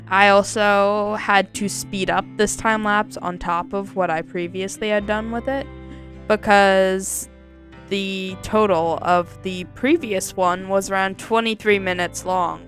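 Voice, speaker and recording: A woman hosting her gaming channel, talking casually over a time lapse with a slightly scratchy voice and a relaxed, rambling manner full of ums. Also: mid-range voice, slow pace, soft background music.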